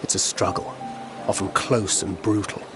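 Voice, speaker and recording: An actor playing a worldly, philosophical man in a video game, articulate, wry and quietly resolute. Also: low voice, fairly even intonation, moderate pace, close to an everyday voice. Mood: somber. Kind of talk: video game cutscene acting. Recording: music playing behind the voice.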